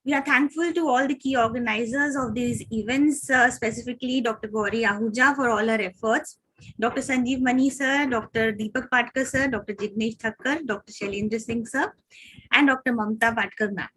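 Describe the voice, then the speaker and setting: Indian-accented woman moderator, fairly high, husky tone, formal introductions over a video call. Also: fairly bright tone, poor audio. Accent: Indian English. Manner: reads a formal introduction with frequent "uh" pauses. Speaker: moderator of an online radiology journal club.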